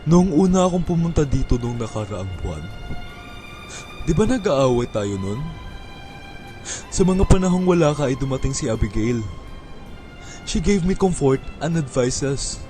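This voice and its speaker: A man playing a guilty, apologetic boyfriend pleading with his partner in a radio drama. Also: fairly high pitch. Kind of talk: amateur radio drama acting.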